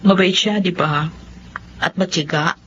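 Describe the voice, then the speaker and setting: A woman's voice: fairly low pitch, measured pace, dull audio, slight background noise, close to an everyday voice. An actress in a Filipino radio drama playing a frank, concerned female best friend. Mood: teasing, probing.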